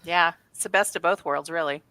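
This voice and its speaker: A woman's voice: fairly low pitch, brisk, noisy background. A woman hosting a podcast in a casual, conversational interview style. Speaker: podcast host.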